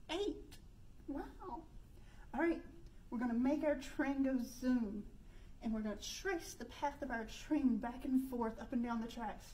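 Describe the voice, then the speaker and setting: A woman's voice: sits high, low-quality recording. A woman in her thirties leading an interactive children's storytime, speaking in an exaggerated sing-song way as she puts questions to young children. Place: indoor room by a stone fireplace. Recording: steady background noise.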